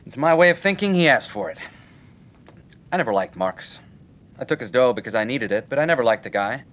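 Actor as a breezy nightclub owner, lively swooping delivery. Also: male voice, medium pitch, a little fast, close to an everyday voice. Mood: frank. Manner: colloquial, slangy, wry. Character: breezy, slangy male nightclub owner, wisecracking. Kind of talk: old-time radio detective drama acting.

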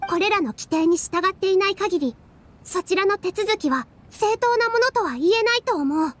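An actress playing an earnest, soft-spoken young woman dancer who argues politely and in measured phrases in an anime game. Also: very high voice, lively intonation. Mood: calm, firm.

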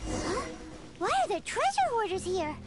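A woman voicing a tiny, cheeky, excitable fairy companion girl in a video game, with a squeaky childlike voice and cute exclaiming delivery. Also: normal speed, very noisy. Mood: excited. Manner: exclaiming, third-person cute talk. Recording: loud game music underneath.

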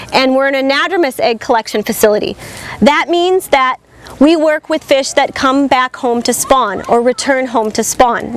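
A young woman naturalist guiding a tour outdoors, talking with a cold and slightly losing her voice, steady and informative with frequent ums. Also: sits high, a little hiss or hum. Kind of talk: outdoor guided tour talk. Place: outdoors by a river facility with a crowd. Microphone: far camera, no visible mic.

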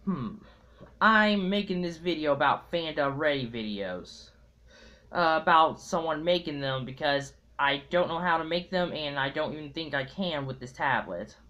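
A young man talking casually to viewers in a halting, hesitant way, recorded at home on a tablet's built-in mic. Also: a little high, animated, sharp tone, muffled recording. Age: young adult. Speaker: amateur fandub video maker. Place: small room at home. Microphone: tablet built-in mic at arm's length.

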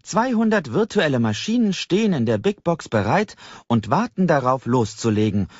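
A man voicing a brisk, commanding young woman project leader addressing a crowd in an audiobook, in a projected, organized announcing manner. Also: a little high, sing-song, dry recording, highs cut off, voice pushed up. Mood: rallying. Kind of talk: audiobook character voice.

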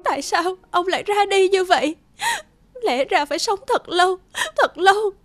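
A woman's voice: very high-pitched, normal speed, faint music behind. A woman playing a grieving, bitter young daughter in a cartoon drama, emotional and earnest. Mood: bitter, crying. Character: grieving, bitter young daughter defending her dead father. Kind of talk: dubbed cartoon drama acting.

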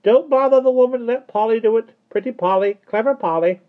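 A man voicing a vain, bossy talking parrot-headed umbrella in a storybook, with a croaky, squawking voice. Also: very high voice, fairly quick, narrow, tinny audio, voice pushed up. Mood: scornful, boastful. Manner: boastful, squawking.

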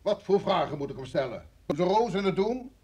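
An actor playing a senior security chief in an old radio drama, brusque and formal, with a husky voice and an authoritative manner. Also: male voice, sits high, very animated, a little mellow, heavily acted. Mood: angry, commanding. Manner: authoritative, formal, measured phrasing. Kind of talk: vintage Dutch radio drama acting.

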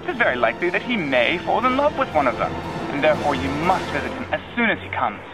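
A man reading an audiobook and voicing a fretful, excitable middle-aged mother with a high, fussy manner. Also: fast talker. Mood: insistent. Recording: lo-fi with music behind.

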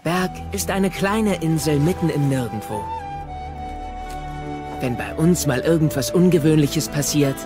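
A man's voice: a little high, a little slow, long pauses, music in the background, natural voice. A male actor playing an earnest, wry teenage Viking dragon rider in a children's audio drama. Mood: wry narrating.

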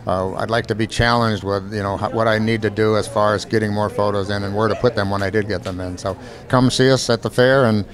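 An older American man in his sixties speaks in a low voice with little variation in pitch. He explains things in a matter-of-fact way, as an organizer being interviewed on a handheld mic. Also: fairly even intonation, fairly quick. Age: 60s. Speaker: photo exhibit organizer. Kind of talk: unscripted interview talk. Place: indoor exhibit hall at a county fair. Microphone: handheld microphone.

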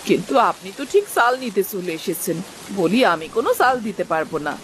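A woman playing a curt, grudging neighbour in a Bengali cartoon moral story, scolding and sharp-tongued. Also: medium pitch, very animated, fast, a little hiss or hum, natural voice. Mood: scornful.

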